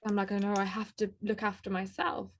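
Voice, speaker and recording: A woman guest on a podcast, talking in a chatty, casual way, with lots of "like" and quick laughs. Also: medium pitch, flat delivery, a little hiss or hum. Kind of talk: casual conversational interview.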